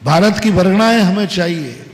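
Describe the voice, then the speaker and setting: A man preaching a sermon as a monk, slow and emphatic, posing rhetorical questions and repeating short maxims. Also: high voice, expressive, creaky. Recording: long hall reverb.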